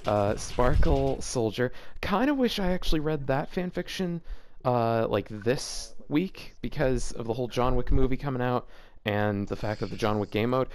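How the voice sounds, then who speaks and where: A man's voice: mid-range voice, darkish tone. A man hosting a casual read-aloud of fan fiction, rambling and breaking off into joking asides. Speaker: host reading fanfiction aloud for a video series.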